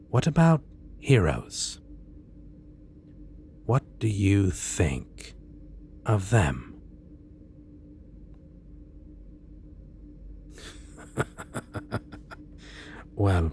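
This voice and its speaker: A suave male supervillain, acted by a man, speaks softly in an ASMR roleplay. His low voice moves slowly, with deliberate pauses and expressive swings in tone. He is courteous yet menacing, over faint background noise. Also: exaggerated voice. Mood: wry, probing. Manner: measured, soft-spoken, deliberate pauses. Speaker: voice actor.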